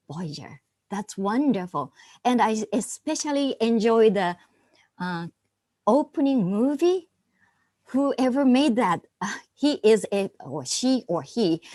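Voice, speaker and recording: A woman's voice: a little high, darkish tone, dry recording, clean studio sound. A woman giving general comments as a contest judge, teacherly and encouraging, asking the audience questions.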